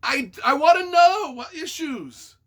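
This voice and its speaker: A man's voice: extremely high pitch, expressive, a little slow, fairly bright tone. A man reading reviews aloud and reacting off the cuff as their author, with a theatrical, emphatic, mock-exasperated delivery.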